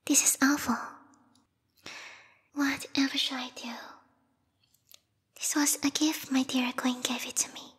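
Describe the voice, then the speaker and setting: A woman voicing a lethal yandere vampire bat girl, a queen's knight with formal, theatrical speech, in a breathy whispered ASMR roleplay. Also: high voice, flat delivery, a little slow, pauses often, fairly bright tone. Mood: distraught. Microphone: close binaural microphone. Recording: reverberant hall-like echo.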